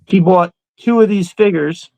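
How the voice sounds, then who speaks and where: A man's voice: high voice, measured pace, vocal fry, darkish tone, dry recording, poor audio. A man hosting a casual livestream, rambling in a slangy, enthusiastic way. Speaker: host of a toy collecting livestream. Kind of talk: casual chat.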